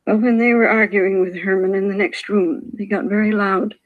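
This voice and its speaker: An actress playing a frail, shaken older widow, hesitant, plaintive and apologetic, in a 1950s radio police drama. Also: female voice, mid-range voice, fairly even intonation, moderate pace, narrow, tinny audio, close to an everyday voice. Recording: vintage 1950s radio broadcast.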